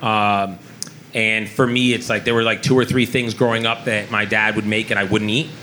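A man from Israel talks about food as a chef on a live panel. His voice is bright and forward, and his speech is earnest and full of restarts. A faint hum of the restaurant sits behind him. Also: fairly low pitch, flat delivery, normal speed.

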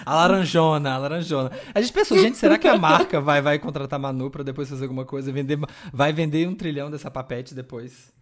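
A man's voice: a little high, brisk, hardly any pauses. A man co-hosting a casual chat on a video call, playful and gossipy. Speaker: co-host.

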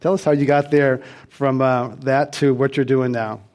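A man's voice: medium pitch, level tone, darkish tone. Man hosting a live panel. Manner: clear and measured, scripted introductions. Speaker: host. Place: stage before a live audience.

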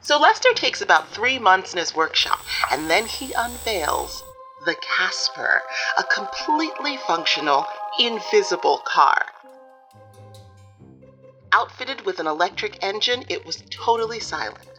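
A woman's voice: medium pitch, sing-song, measured pace, sharp tone, faint music behind, low-quality recording. A woman playing a wry author in a radio documentary interview, telling the story in a dry, matter-of-fact way. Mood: wry, explanatory. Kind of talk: documentary interview in audio fiction.

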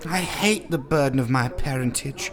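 A man's voice: medium pitch, moderate pace, lightly acted. A man voicing an awkward, earnest young lord. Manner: self-pitying, earnest.

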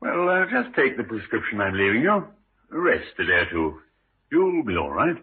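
An actor playing a genial, shrewd older small-town doctor in a 1950s radio drama, with a husky voice and a musing, avuncular manner. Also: male voice, medium pitch, big pitch swings, moderate pace, full character voice. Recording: muffled, thin old radio recording.

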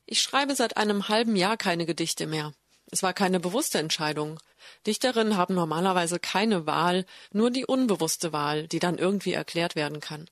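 A woman reading a translated voice-over in the first person, calm and deliberate. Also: on the low side, dry recording, studio quality.

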